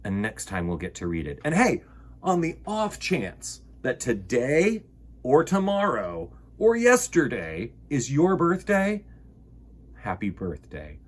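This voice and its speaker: Expressive male children's storyteller, slightly husky. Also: medium pitch, takes it slowly. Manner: expressive, emphatic, addresses young listeners directly. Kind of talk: animated picture-book reading and chat to children.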